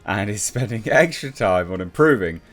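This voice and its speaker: British male commentator, low-pitched, blunt and sarcastic, casual opinion delivery over music. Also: animated, moderate pace. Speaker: YouTube commentator.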